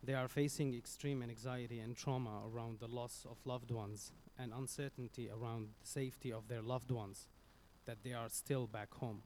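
A man from Syria with a Syrian Arabic accent, Arabic his first language, giving an earnest, halting public speech into a stage microphone as a community speaker. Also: mid-range voice, steady tone, a little mellow, slight background noise. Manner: earnest, halting, with many restarts.

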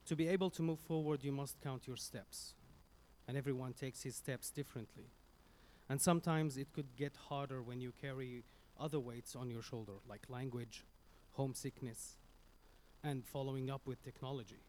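A man from Syria with a Syrian Arabic accent, Arabic his first language, giving an earnest, halting public speech into a stage microphone as a community speaker. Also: mid-range voice, darkish tone, slight background noise. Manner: earnest, halting, with many restarts.

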